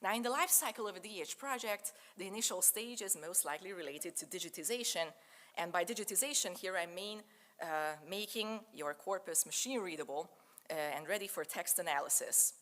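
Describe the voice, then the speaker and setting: A woman's voice: lowish voice, a bit bright. Lecturing woman, native Hungarian speaker. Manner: measured, explanatory, with frequent "uh" and "so" fillers. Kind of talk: academic lecture. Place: lecture room in a German-speaking country.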